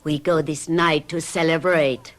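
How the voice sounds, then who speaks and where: A woman's voice: fairly even intonation, measured pace. An actress playing a sinister old witch mother who speaks in an old radio drama. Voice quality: theatrical, rising to shrill cries. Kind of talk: 1970s radio drama acting.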